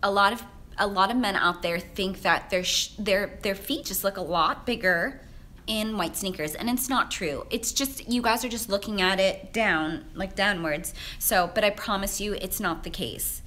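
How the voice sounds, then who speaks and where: A young woman whose first language is Vietnamese, chatting through a casual live Q&A as a menswear stylist, filming herself on a handheld phone in a high-rise office. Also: moderate pace, sharp tone, slight background noise. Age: young adult. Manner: chatty, upbeat, laughs often, many fillers. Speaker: menswear stylist answering viewer questions. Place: high-rise office with large windows, indoors. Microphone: handheld phone at arm's length, shaky.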